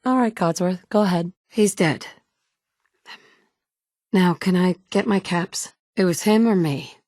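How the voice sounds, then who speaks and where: A blunt wasteland survivor. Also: female voice, on the low side, unhurried, a little mellow, no echo, clean studio sound. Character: tough wasteland survivor mother, blunt and wry. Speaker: player character voice. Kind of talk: game voice lines.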